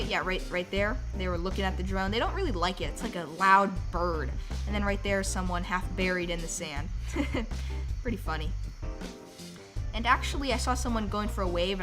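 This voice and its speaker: A young woman vlogger with a slightly raspy, stuffy-nosed voice, chatting in a loose, easygoing way full of fillers. Music plays clearly behind her. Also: medium pitch, fairly quick. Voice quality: a bit raspy. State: slightly sick, sore throat, stuffy nose. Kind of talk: casual vlog voiceover and to-camera talk.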